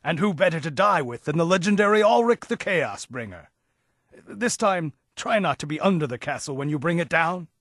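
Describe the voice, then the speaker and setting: Game cutscene: high-pitched, lively noble warlord, proud, commanding, defiant. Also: male voice, normal speed, dry recording, clean studio sound. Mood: wry. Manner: stirring, commanding speech. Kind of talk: video game cutscene acting.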